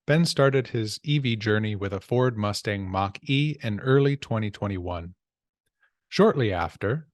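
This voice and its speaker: A man's voice: on the low side, no echo, studio quality. A man hosting a podcast, introducing a guest and asking friendly, upbeat questions. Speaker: podcast host.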